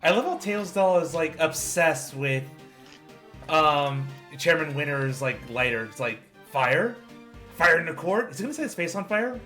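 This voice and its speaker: Bright, forceful man bantering casually. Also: a little high, animated, normal speed, soft background music. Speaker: fan dub cast member.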